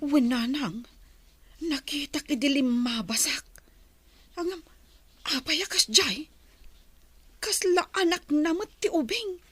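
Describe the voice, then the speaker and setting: A woman's voice: high-pitched, takes it slowly, pauses often, a bit bright, dry recording. A woman acting an envious, nosy, disbelieving gossip in a radio drama. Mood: envious, whining.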